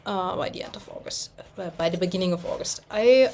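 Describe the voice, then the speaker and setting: A young German woman chatting casually in English, rambling a little and pausing to search for words as she shows her stitching projects. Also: fairly low pitch, gravelly, a little mellow, slight background noise. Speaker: cross-stitch vlogger. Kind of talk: casual chat.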